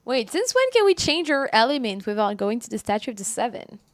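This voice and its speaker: A woman's voice: on the high side, big pitch swings, a little fast, no echo. A woman game streamer chatting casually and commenting live on her play. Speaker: game livestreamer.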